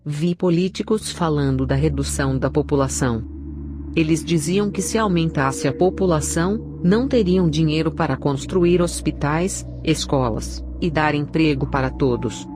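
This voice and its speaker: A woman giving a scripted narration of a religious testimony in a solemn, measured way. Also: low voice, background noise. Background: background music.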